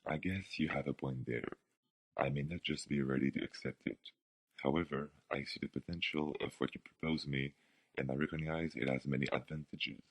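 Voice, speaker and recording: A man's voice: rumbling low, fairly even intonation, a little fast, no echo, rough recording. A young man, a student, giving his view in a scripted radio debate with formal wording. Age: young adult.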